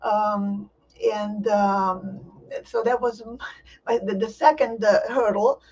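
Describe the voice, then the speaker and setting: An older woman who grew up in Israel, recalling her early life in a halting way, with many restarts. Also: mid-range voice, fairly even intonation, a little mellow. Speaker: poet and professor, interview guest. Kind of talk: virtual interview, personal recollection. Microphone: video call. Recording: distant, muffled video call audio.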